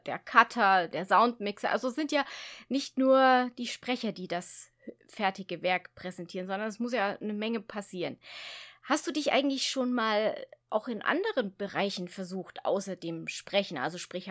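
A woman hosting a relaxed radio interview, commenting and asking questions in a casual chat. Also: mid-range voice. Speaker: radio talk host, interviewer.

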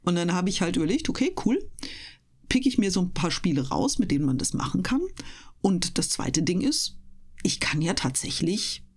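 Husky, low-pitched talkative woman. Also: very animated, moderate pace. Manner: talkative, explanatory, storytelling. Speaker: interview guest, voice performer and live reader. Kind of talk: casual interview talk.

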